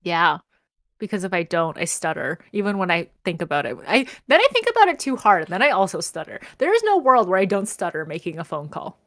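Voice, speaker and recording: A woman's voice: fairly low pitch, wildly swooping pitch, fairly quick, fairly bright tone, no room sound. Young woman chatting casually, dry close sound. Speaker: podcast co-host. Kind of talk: casual chat.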